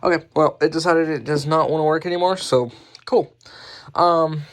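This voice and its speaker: Offhand man showing off his collection. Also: fairly high pitch. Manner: halting, offhand, self-correcting. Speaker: hobbyist presenter showing a radio collection. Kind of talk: casual unscripted show-and-tell.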